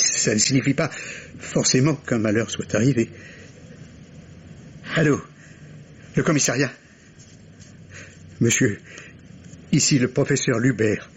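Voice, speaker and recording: An actor playing an anxious middle-aged professor and worried father in an old radio mystery play, halting and repeating his words. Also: mid-range voice, lightly acted. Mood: anxious, agitated. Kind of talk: old French radio drama acting. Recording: dull lo-fi vintage radio, clear hiss.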